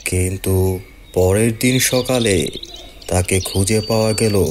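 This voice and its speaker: Low slow guy narrating a scary cartoon story, birds and crickets noisy behind him. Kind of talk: scripted horror story narration.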